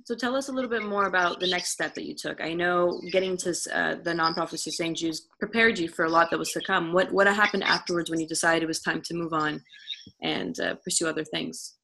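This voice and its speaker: A woman interviewer speaks quickly in a slightly lower register. Her delivery is conversational and encouraging, full of affirmation for her guest. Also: gravelly, pushed and bright, low-quality recording. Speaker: interviewer, host.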